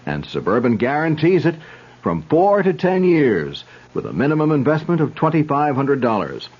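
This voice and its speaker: A man's voice: a little high, huge pitch swings, normal speed, slightly rough, muffled recording. A man reading a 1970s radio advertisement in a salesy way. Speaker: commercial announcer.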